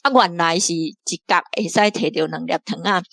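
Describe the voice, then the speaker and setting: A woman from Taiwan, a guest reader, talking through a radio drama in a lively way, often laughing and restarting her phrases. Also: lowish voice, a little fast, no room sound, studio quality. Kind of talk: casual on-air discussion.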